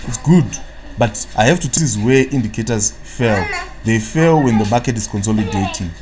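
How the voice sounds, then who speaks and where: A Zimbabwean man with a low voice runs a casual live stream, rambling and trailing off into filler tags as he reads viewers' comments. His voice comes through a computer mic with a faint hiss underneath. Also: expressive, normal speed. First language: Shona. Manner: rambling, fills gaps with "what, what"; answers viewers' chat comments. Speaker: trading analyst. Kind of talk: live-stream market analysis.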